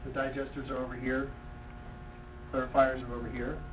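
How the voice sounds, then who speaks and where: A man speaking quietly and briefly, pointing out sites on a map at a meeting. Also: medium pitch, monotone, a little fast. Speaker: finance director pointing out a map. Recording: distant tinny audio, room noise.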